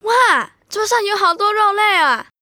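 A woman's voice: very high-pitched, expressive, a little slow, bright, forceful tone, no room sound, studio quality, heavily acted. A woman playing an enthusiastic, curious, food-loving child in an educational radio drama. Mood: excited.

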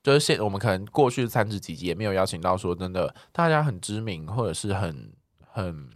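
A man's voice: low voice, sing-song, brisk, dry recording, studio quality. A man with a Taiwanese accent chatting casually and sincerely as a podcast co-host. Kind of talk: casual chat.

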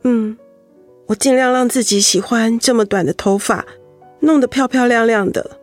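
High-voiced vulnerable young wife played by an actress. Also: female voice, moderate pace, soft background music, lightly acted. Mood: anxious, tearful. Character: vulnerable young wife holding back tears after illness. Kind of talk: Mandarin radio drama acting.

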